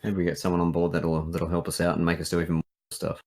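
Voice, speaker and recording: A man's voice: deep voice, completely flat delivery, fast talker, creaky, darkish tone, a little hiss or hum. An Australian man chatting casually as a game writer and developer, hesitant and thinking aloud. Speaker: visual novel writer and developer.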